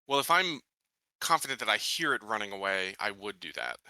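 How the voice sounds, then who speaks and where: A man's voice: lowish voice, sing-song, fairly quick, pushed and bright, no echo, low-quality recording. A man talking casually as a player at a tabletop roleplaying game.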